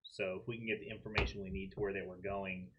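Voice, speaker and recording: A man playing a tabletop role-playing game, talking through options in a measured, deliberative way. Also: sits low, level tone, muffled recording, background noise. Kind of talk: in-character tabletop discussion.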